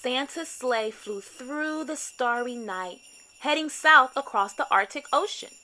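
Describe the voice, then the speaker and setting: A woman narrating a children's storybook in an expressive read-aloud style with deliberate phrasing. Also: high-pitched, slight background noise.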